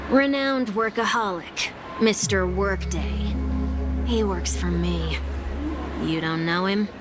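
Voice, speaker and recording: A woman's voice: mid-range voice, slow pace, natural voice. An actress playing a teasing, self-assured woman of authority in a game, casual yet commanding. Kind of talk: scripted game voice acting. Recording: loud music behind the voice. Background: loud game music.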